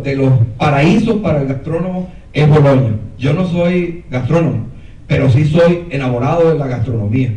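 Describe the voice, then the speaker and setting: A man with a Venezuelan accent lectures at a conference, sitting slightly higher than most men's voices. He tells animated stories, stumbling and restarting with fillers. The voice echoes through a reverberant hall, caught by a microphone some distance away. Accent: Venezuelan Spanish. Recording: roomy hall, mic far off.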